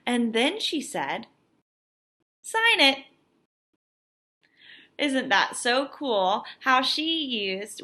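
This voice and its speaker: A young adult woman, a teacher reading a picture book aloud to children with expressive, emphatic delivery and teaching asides. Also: high-pitched.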